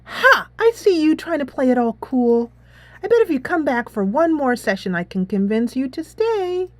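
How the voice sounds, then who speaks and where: A woman voicing a sweet, earnest, eager high school girl from a visual novel game. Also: sing-song, moderate pace, no room sound. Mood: eager, daring. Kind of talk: visual novel character read aloud.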